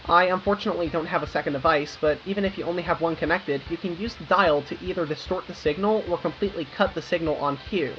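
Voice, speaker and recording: A woman's voice: on the low side, fast talker, hardly any pauses, fairly bright tone, dull audio. A young American woman narrating a scripted video essay with informal, wry, self-aware asides. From United States. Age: young adult. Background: background music.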